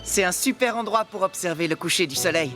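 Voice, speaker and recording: Young boy hero, woman performer: trusting, enthusiastic, sincere cartoon delivery over clearly audible music. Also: fairly low pitch, fairly quick, natural voice. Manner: wide-eyed, sincere. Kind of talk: animated cartoon dub.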